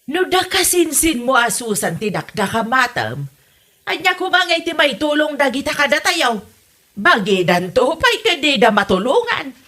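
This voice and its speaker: A stingy older mother-in-law, acted by a woman in a comedy audio drama. Her voice is high and bright with a piercing edge. She grumbles and scolds, begrudging whatever she is asked to share. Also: very animated, normal speed, exaggerated voice. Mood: grudging, scolding. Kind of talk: comedy radio drama acting.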